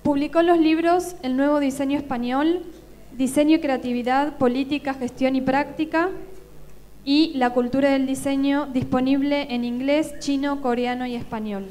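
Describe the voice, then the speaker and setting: A woman's voice: high-pitched, steady tone, a little mellow. A woman, moderating a conference and reading out the next speaker's introduction. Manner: brisk, reads a biography aloud.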